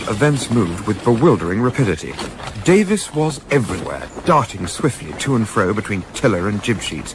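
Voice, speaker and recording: An actor playing a polite, inexperienced British gentleman yachtsman in a radio play, with genteel, old-fashioned literary phrasing. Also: fairly low pitch, background noise. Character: polite, eager, inexperienced gentleman yachtsman narrating his memoir. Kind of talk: radio play narration and dialogue.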